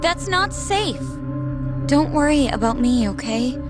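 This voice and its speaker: A woman's voice: high voice, animated, a little slow. A woman voicing a concerned, inquisitive and composed young professional in an English video game dub. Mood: worried. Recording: background music under the dialogue.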